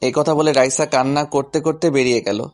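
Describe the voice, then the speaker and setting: Casting: male narrator and lead for a first-person romance audio story, mid-pitched voice, music bed. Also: a little fast.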